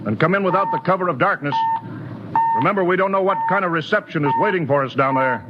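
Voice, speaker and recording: An actor playing a calm, commanding male rocket ship captain in a 1950s radio drama, giving steady orders. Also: sits high, fast, heavy background noise, lightly acted. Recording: dull lo-fi 1950s radio recording.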